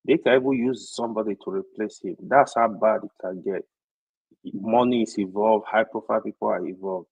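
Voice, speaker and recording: A Nigerian man on a panel talk, speaking earnestly and with many halting restarts. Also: fairly low pitch, steady tone, vocal fry, darkish tone, breathy edge, poor audio. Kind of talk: panel discussion.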